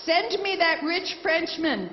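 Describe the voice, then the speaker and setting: A woman giving a faith testimony, telling her story in short phrases and pausing for an interpreter. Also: very high-pitched, slight background noise. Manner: animated storyteller, pauses for interpreter. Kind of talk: testimony talk with live interpretation. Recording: echoey hall, dull sound.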